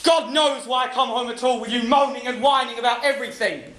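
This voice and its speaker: An actor playing a bullying, contemptuous husband who taunts his wife and breaks into shouting. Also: very high-pitched, a little fast. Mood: sneering. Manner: sneering, taunting, explodes into shouting. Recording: roomy echo.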